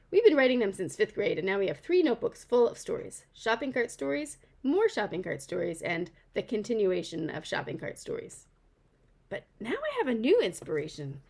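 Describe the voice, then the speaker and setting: Expressive woman reading a children's novel aloud. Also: mid-range voice, vocal fry. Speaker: author reading own book.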